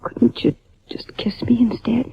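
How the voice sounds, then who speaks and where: An actress playing a breathy, adoring sweetheart in an old-time radio drama. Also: female voice, on the high side, fairly even intonation, measured pace, no echo, whispered, full character voice. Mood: dreamy, romantic. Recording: dull vintage radio recording.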